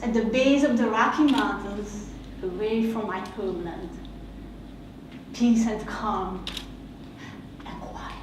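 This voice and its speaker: A woman with a Hungarian accent, whose first language is Hungarian, reads a reflective essay at an unhurried, deliberate pace. Her voice sits fairly high and lingers on each phrase. It echoes heavily around the room, and the mic is set back from her. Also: a little mellow, far from the mic, slight background noise. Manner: slow, measured, heartfelt delivery.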